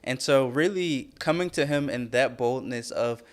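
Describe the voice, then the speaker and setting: A soft-spoken young man talking gently and thoughtfully as a podcast guest. His delivery stays calm and reflective, relaxed and unhurried. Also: medium pitch. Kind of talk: casual faith chat.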